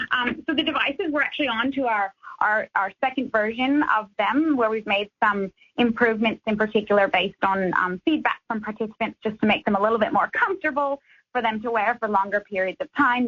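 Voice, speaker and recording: Woman academic on the radio, bright but husky, halting explanations, slightly dull phone-ish sound. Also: medium pitch. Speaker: academic researcher, interview guest.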